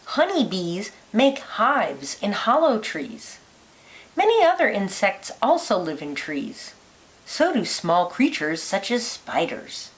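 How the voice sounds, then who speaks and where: A woman reading a children's nonfiction picture book aloud like a teacher, with deliberate, lilting emphasis. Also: medium pitch.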